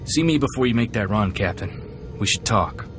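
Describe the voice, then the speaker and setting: An actor playing a cocky, eager young gunslinger sidekick in a video game, folksy and plain-spoken. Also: male voice, lowish voice, lively intonation, normal speed, close to an everyday voice. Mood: cautioning. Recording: clear music behind the voice.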